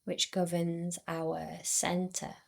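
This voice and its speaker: A woman guiding a yoga nidra relaxation in a soothing, unhurried way, with long pauses between short phrases. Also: lowish voice, monotone, vocal fry. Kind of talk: guided meditation.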